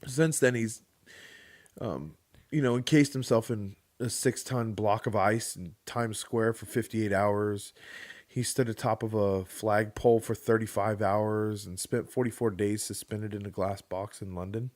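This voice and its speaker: A man's voice: lowish voice, fairly even intonation, measured pace, darkish tone, no room sound. Sarcastic man reading news on a podcast. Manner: reads news aloud, joking and sarcastic. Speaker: podcast co-host. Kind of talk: casual podcast chat.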